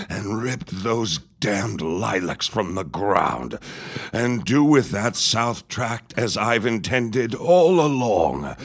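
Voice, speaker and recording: An actor playing a menacing, scheming old reverend in an audio drama, husky and pompous in his deliberate delivery. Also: deep voice, full character voice. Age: older. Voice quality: husky, raspy.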